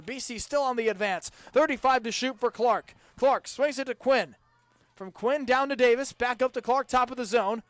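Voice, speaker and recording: A young man calling a college game as a radio play-by-play announcer, with brisk, precise calls that lift sharply on scoring plays. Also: extremely high pitch, clear, resonant tone, no room sound.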